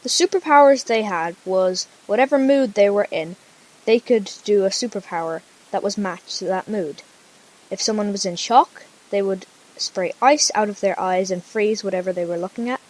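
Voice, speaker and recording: A woman's voice: medium pitch, normal speed, poor audio. A female storyteller narrating an amateur radio drama informally, with casual conversational asides.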